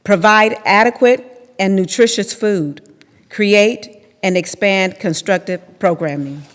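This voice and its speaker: Woman as slow-spoken activist in a hall. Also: medium pitch, lightly acted. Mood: firm. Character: activist woman plainly listing demands. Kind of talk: readers theater, scripted reading. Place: large temple hall, at a lectern. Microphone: microphone on a stand at lectern. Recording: reverberant hall recording.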